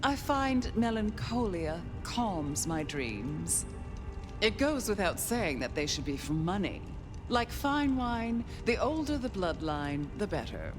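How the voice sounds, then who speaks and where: An actress playing a haughty, languid ancient vampire aristocrat in a video game, with a theatrical, condescending drawl. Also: female voice, mid-range voice, sing-song, takes it slowly, over music. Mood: fussy, haughty. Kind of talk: dramatic video game acting.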